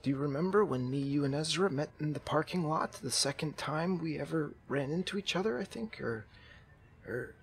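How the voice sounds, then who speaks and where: A man roleplaying a kindly, babbling zombie apocalypse survivor in an online game, speaking haltingly and earnestly. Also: on the high side, animated, gravelly. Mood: hesitant, careful. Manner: halting, earnest, folksy, repeats himself.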